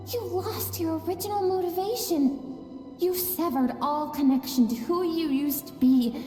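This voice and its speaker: An earnest young girl in a game cutscene, speaking softly and pleadingly in a high, mellow voice. Her unhurried words ring through an echoey, reverberant space with a long tail. Soft music plays underneath. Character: earnest gentle young girl, inner self. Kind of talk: video game cutscene dialogue.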